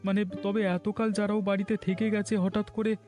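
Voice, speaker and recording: A man plays a poor, worried village husband in a cartoon melodrama. His voice is quite high for a man and dark in tone. He sounds earnest and pleading, with faint music behind him. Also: flat delivery, normal speed, lightly acted.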